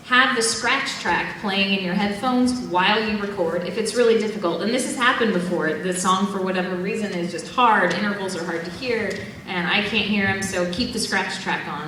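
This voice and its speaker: A woman's voice: medium pitch, sharp tone, a little hiss or hum. A woman voice actress explaining the recording process on a convention panel in a chatty, upbeat way. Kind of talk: casual panel explanation to a live audience. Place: large convention hall. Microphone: audience member's camera from far off. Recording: distant echoey hall audio from audience.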